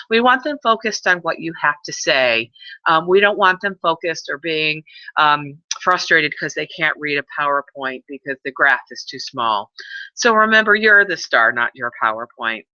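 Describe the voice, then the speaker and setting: A woman organizing a conference, briefing presenters in an earnest, advising way with many fillers and a loud voice. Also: low-pitched, dry recording. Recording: muffled lo-fi webinar audio.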